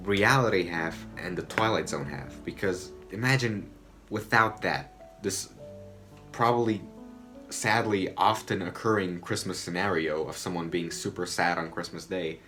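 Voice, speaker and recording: A man's voice: deep voice, a little slow, pushed and bright, faint music behind. A man from Romania, giving loose, rambling commentary on a TV episode from his home and swearing freely. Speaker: video essayist. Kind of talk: casual review commentary. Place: home room, indoors.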